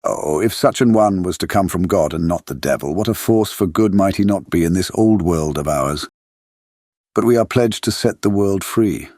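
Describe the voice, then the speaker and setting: Older foreign vampire hunter, non-native English. Lowish voice, grave oratory, dry studio sound, gothic audiobook drama. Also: male voice, normal speed, darkish tone, no echo. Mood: solemn, fervent. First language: not English, speaks it with foreign grammar. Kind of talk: full-cast audiobook dramatic monologue.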